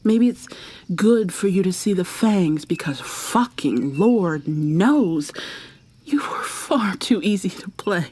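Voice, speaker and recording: A woman playing an obsessive, coldly possessive killer in an intimate ASMR roleplay, soft and often whispery, with a deliberate, mock-sweet, threatening manner. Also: mid-range voice, lively intonation, breathy edge. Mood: taunting menace. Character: obsessive, menacing female serial killer lover, coldly possessive.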